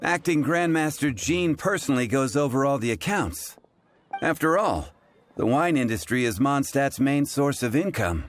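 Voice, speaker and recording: A man's voice: mid-range voice, lively intonation, normal speed, close to an everyday voice. An actor playing a wry, easygoing male bartender in video game dialogue, dry and teasing. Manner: dry, teasing, matter-of-fact.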